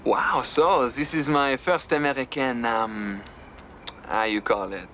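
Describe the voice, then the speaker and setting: A young french exchange student. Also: male voice, mid-range voice, fairly even intonation. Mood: puzzled. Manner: halting, searching for words. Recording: film soundtrack with noise.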